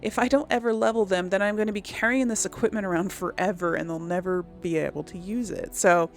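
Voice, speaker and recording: A woman's voice: mid-range voice, fairly even intonation, fairly quick, faint music behind. A woman giving casual, rambling commentary on a video game, thinking aloud to her viewers. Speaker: host of a video game let's play.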